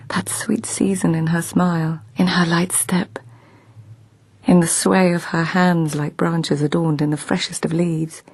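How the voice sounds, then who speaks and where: A sharp-witted court lady in a radio play who recalls the past in a soft, hushed way. Her voice is husky with a gravelly edge and a lowish pitch, recorded close and dry. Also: no echo, full character voice. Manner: hushed, reflective narration, dry replies. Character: court lady Shonagon, wry, sharp, remembering. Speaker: narrator of memories. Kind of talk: radio drama acting.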